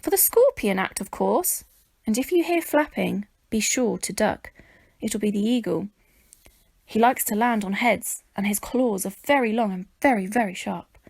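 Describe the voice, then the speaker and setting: A woman voicing a brisk, bossy, teasing circus man in a children's storybook. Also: medium pitch, expressive, vocal fry, darkish tone, slightly rough, no echo.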